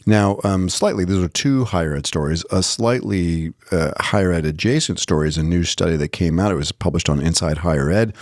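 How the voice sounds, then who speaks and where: A man's voice: low-pitched, fairly quick, hardly any pauses, darkish tone, no room sound, clean studio sound. A man co-hosting a podcast, chatting casually about the news with jokey asides. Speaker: podcast co-host.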